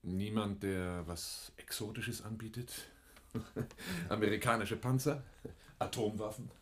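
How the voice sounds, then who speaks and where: A guarded, calculating man on the run in a radio play. His voice sits fairly low and is rough and husky. He probes carefully and gives little away. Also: slow. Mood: dry, probing. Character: guarded, probing man in hiding, shrewd arms buyer. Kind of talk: German radio play acting.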